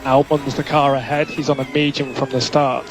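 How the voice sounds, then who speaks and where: A man working as a race engineer, giving measured, clipped instructions over team radio and repeating key calls. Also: medium pitch, flat delivery. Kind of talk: instructional radio messages to a racing driver. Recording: noisy motor racing team radio.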